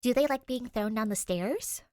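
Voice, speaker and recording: A woman's voice: fairly high pitch, normal speed, dark tone, no room sound, clean studio sound, voice pushed up, lightly acted. A woman voicing a skittish, stubborn young girl in an audiobook, indignant and argumentative. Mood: defiant. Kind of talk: audiobook character voice, fan fiction reading.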